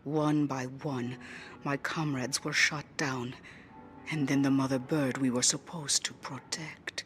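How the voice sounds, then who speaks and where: A woman's voice: a bass voice, steady tone, a little slow, a bit bright. Casting: a grieving female war veteran pilot, subdued and reflective, game monologue, music behind. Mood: quiet grief. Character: wistful female former fighter pilot mourning her flight lead. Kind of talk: dramatic interview-style monologue in a video game.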